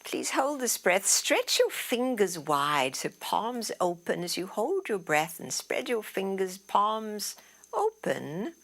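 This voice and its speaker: A woman teaching yoga nidra, guiding relaxation in a soothing, unhurried way that often trails off into breathy softness. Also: medium pitch, big pitch swings. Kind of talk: guided relaxation meditation instruction.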